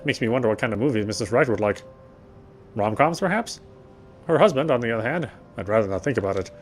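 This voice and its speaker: A man with a mid-pitched voice reads story narration aloud from a game. He stumbles now and then and goes back to correct himself. Faint music plays behind him. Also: expressive. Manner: halting, self-correcting reading. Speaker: gamer reading a visual novel aloud.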